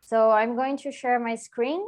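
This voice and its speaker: A woman's voice: fairly high pitch, fairly even intonation, measured pace, a little mellow. A woman from Brazil with a strong Brazilian Portuguese accent, giving an academic presentation on a video call, with frequent "uh"s and restarts. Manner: hesitant, many filler "uh"s and restarts. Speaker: postdoc researcher presenting.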